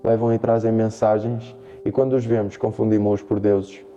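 A man's voice: lowish voice, level tone, normal speed, warm tone, highs cut off, soft background music, close to an everyday voice. A man playing a storyteller of ancient mysteries in a radio drama. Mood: incantatory. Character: male storyteller evoking ancient monuments and guardians. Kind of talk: dramatic spoken-word narration in a radio drama.

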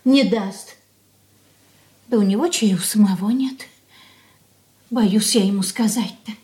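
A woman's voice: medium pitch, a little slow, warm tone, natural voice. An actress in a radio drama playing an anxious, submissive older mother, plaintive and pleading. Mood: anxious, helpless. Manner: plaintive lamenting with folksy diminutives. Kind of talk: Russian stage-style radio drama acting.

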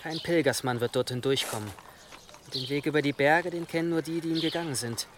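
A man's voice: fairly high pitch, a little fast, background noise, lightly acted. An actor playing a deferential, pragmatic adviser to a churchman in a medieval radio drama, formal and matter-of-fact. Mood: deferential, calm. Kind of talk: German radio drama acting. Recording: clear outdoor nature sounds behind.

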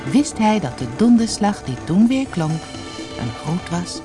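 A storyteller reading a scripted fairy tale in an expressive, dramatic manner. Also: mid-range voice, a little slow, over music.

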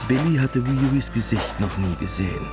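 A man's voice: medium pitch, normal speed, over music. A man giving a scripted literary narration as the narrator of a radio drama.

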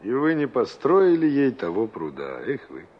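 An actor in a Soviet radio play as a pensive young engineer, musing and questioning. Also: male voice, medium pitch, wildly swooping pitch, moderate pace. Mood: wistful. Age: young adult. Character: pensive young engineer asking after a girl he knew. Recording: dull old radio drama recording.

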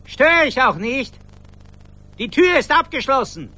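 An actor playing a polite, solicitous visiting friend in a radio play, courteous and chatty. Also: male voice, very high voice, sing-song, unhurried, bright, forceful tone, heavily acted. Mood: sociable, concerned. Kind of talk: 1980s German radio play acting.

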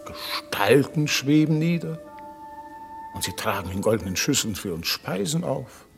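A man's voice: medium pitch, big pitch swings, unhurried, vocal fry, soft background music. An actor playing a weary shipwrecked king, solemn and declamatory, in a classic radio play. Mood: awed.

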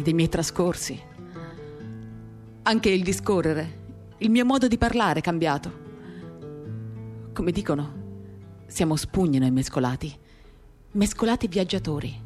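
A woman giving a dramatic reading of a literary first-person monologue, measured and expressive, in short phrases. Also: fairly low pitch. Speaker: reader of a novel for radio theatre. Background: acoustic guitar.

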